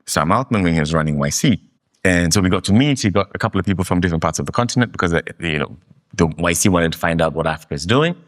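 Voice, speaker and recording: Deep-voiced Kenyan man, emphatic. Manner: animated, emphatic storytelling. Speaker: guest. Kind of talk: fireside interview. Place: live meetup venue.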